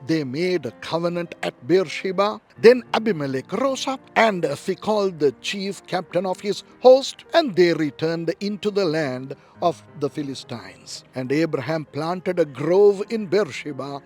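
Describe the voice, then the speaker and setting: A man reads scripture in a solemn, deliberate way, taking his time over each phrase. His voice sits a little high for a man and leans into dramatic emphasis, with faint music playing underneath. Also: animated, a little mellow. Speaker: pastor reading scripture. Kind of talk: solemn scripted Bible reading in archaic English. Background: background music.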